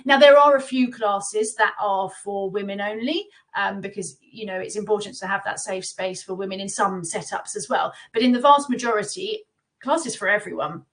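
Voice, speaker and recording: A woman's voice: mid-range voice, normal speed, fairly bright tone. A woman from the UK speaking casually as a guest in a live Q&A, chatty and reassuring with many fillers. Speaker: guest from a baby class platform. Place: remote live video call.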